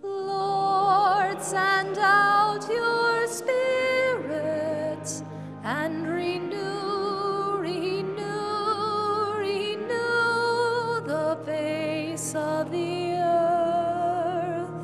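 A woman cantor singing a psalm at a church lectern with slow, sustained liturgical phrasing. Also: extremely high pitch. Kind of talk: sung responsorial psalm. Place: large church, indoors. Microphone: lectern microphone. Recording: long church reverb, loud organ.

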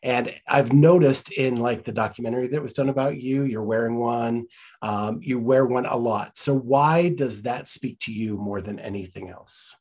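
Husky-voiced enthusiastic podcast host. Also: male voice, medium pitch, moderate pace, darkish tone. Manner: enthusiastic, friendly questions. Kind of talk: casual interview. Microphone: studio mic in front.

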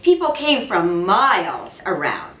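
A woman's voice: lowish voice, wildly swooping pitch, takes it slowly, fairly bright tone, exaggerated voice. A woman voicing a stubborn, tired father in a storybook, firm and emphatic. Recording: roomy, distant mic with faint noise.

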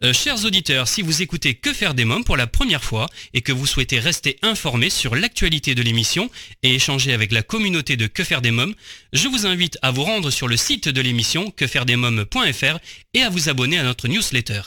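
A man's voice: medium pitch, brisk, dry recording, clean studio sound. A man hosting a podcast, introducing the show and interviewing a guest in an upbeat presenter style. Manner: lively, upbeat presenter delivery. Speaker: radio podcast host.

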